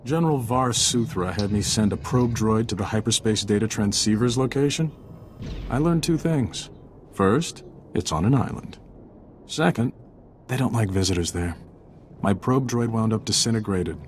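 A wry, seasoned spy played by a man in a science fiction game, with a fairly low voice. He briefs in a dry, matter-of-fact way over faint noise. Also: normal speed, lightly acted. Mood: wry, briefing. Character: wry, seasoned male intelligence agent. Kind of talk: video game acting.

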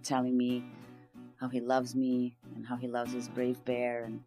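A woman's voice: very deep voice, monotone, a little slow, warm tone. An actress playing an earnest, weary homeless migrant woman, confiding her story in a conversational audio-drama monologue. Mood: tender, worried. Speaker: narrator-protagonist of an audio drama. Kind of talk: first-person dramatic monologue. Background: plucked guitar music.

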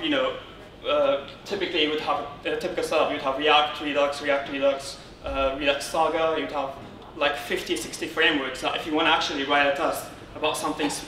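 A man from Syria speaks to a conference in an informal, halting way, restarting his phrases. His voice sits a little high and has a bright, forceful edge. It is picked up from a distance in a roomy space. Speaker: conference speaker, software consultant. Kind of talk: informal technical conference presentation. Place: indoor darkened conference room, on stage beside a lectern. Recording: roomy, distant, noisy room sound.

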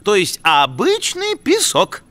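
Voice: medium pitch, wildly swooping pitch, takes it slowly, bright, forceful tone, exaggerated voice. An actor voicing an earnest, brainy young cartoon animal who explains science in a precise, didactic way with small hesitations. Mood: earnest, explaining. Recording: background music under the voice.

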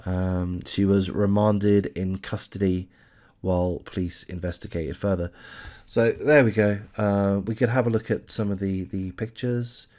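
A man's voice: low-pitched, fairly even intonation, a little slow, a little mellow. A man hosting a true crime channel, casually reading a news article aloud with lots of hesitant fillers and restarts, and giving blunt opinions.

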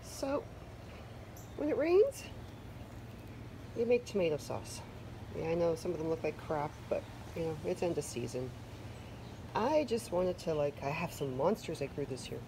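A woman's voice: low voice, very animated, moderate pace, long pauses, mellow. Chatty Canadian woman on a noisy deck. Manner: chatty, self-deprecating, loose fillers. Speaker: vlogger. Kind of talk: casual garden vlog chat. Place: covered wooden deck outdoors.

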